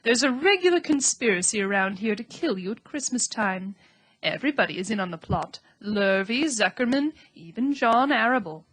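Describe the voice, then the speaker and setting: A woman's voice: a little high, lively intonation, moderate pace, fairly bright tone, poor audio. A woman voicing an old female sheep, blunt and gloomy, with a grim, matter-of-fact manner that turns snappish.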